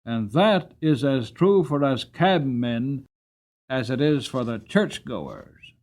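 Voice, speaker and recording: A man performs an upright male cab driver from a storybook. He speaks with firm, kindly conviction and drives each moral point home. His pitch rises and swings with the emphasis. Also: very animated, slow pace, a little mellow. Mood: firm, preaching. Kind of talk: dramatic storybook character reading.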